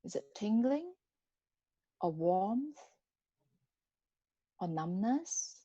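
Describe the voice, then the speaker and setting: Very slow, mellow female meditation guide. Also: medium pitch, big pitch swings, breathy edge, no echo, dull audio. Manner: gentle, unhurried, with long pauses. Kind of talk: guided body scan meditation.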